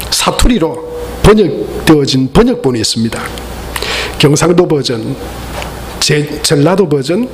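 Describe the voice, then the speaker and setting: A high-pitched male pastor preaches in a church sanctuary. He is earnest, yet he throws in playful asides to his congregation. A faint hiss of noise sits under his voice. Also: low-quality recording. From Gyeongsang region, Korea. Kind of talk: Sunday sermon.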